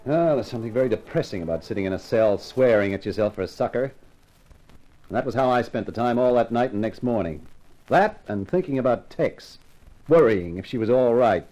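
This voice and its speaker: Male lead, acted: tough wisecracking gumshoe, animated pitch, slangy and sardonic, vintage radio thriller. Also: a little fast, natural voice, low-quality recording. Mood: rueful narration. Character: wisecracking hardboiled American male private detective, weary and defiant. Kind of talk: 1950s radio crime drama acting with first-person narration.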